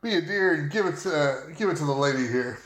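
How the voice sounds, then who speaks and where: Casting: player as dapper gothic gentleman, unflappable dark negotiator, mid-pitched, wry and old-fashioned in manner. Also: animated, fast talker, roomy sound, close to an everyday voice, poor audio. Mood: wry, bargaining. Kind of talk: tabletop role-play in character.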